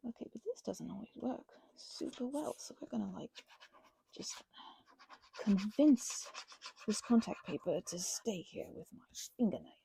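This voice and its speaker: A mellow, husky woman with a creaky edge chats through a craft project. She rambles whimsically, half to herself and half to her materials, and drifts toward a whisper at times. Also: mid-range voice, expressive, unhurried, no room sound. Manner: whimsical, talks to herself and objects. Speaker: crafting vlogger. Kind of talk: rambling casual craft chat, ASMR-style.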